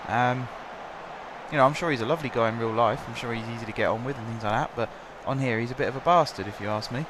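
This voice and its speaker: A man's voice: mid-range voice, fast talker, noisy background. Chatty young man with a sore throat. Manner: chatty, rambling, filler-heavy. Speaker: gaming commentator. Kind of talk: casual game commentary.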